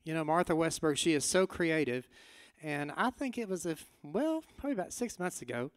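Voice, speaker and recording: A man's voice: fairly high pitch, animated, brisk, dry recording, studio quality. A man hosting an evening, giving an informal, conversational introduction to a staged play and speaking straight to the audience. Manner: conversational, addressing audience directly.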